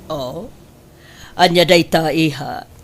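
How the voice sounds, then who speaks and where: A woman's voice: low-pitched, takes it slowly. A woman acting a domineering middle-aged mother who coaxes and insists, in an emotive audio drama. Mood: probing.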